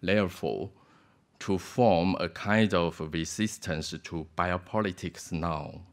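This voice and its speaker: A man reading a prepared academic paper from a lectern on a theater stage, in a careful, halting delivery. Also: sits low. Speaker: conference panelist. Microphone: lectern microphone.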